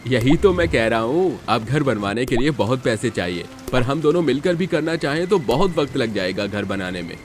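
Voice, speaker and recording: A man's voice: medium pitch, very animated, normal speed, hardly any pauses, a little hiss or hum, lightly acted. A man voicing a poor, worried but caring young husband in dramatized storybook dialogue. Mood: worried, reassuring.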